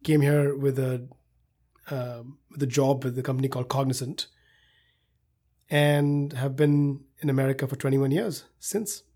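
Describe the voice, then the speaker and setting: A man from India speaks as a guest in an interview. His voice is mid-pitched and somewhat husky, and he talks in a measured, reflective way. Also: steady tone, mellow. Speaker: company co-founder, guest. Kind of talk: interview conversation.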